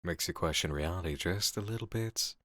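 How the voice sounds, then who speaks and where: An actor playing a composed, cerebral male coworker in an ASMR roleplay drama, speaking persuasively with deliberate pauses. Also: low-pitched, a bit bright, no room sound, clean studio sound. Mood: thoughtful, persuasive.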